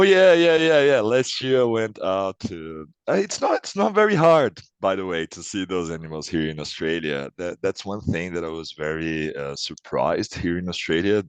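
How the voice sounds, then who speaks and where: A young man in his twenties from Brazil with a Brazilian Portuguese accent, answering interview questions casually and thoughtfully, with restarts and soft laughs. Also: extremely low pitch, clear, resonant tone, no room sound.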